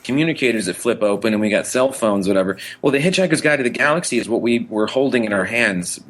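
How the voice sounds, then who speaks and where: Male podcast co-host, lowish pitch, casual enthusiastic geek chat, rambling, self-interrupting. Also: expressive, quick pace, a bit bright.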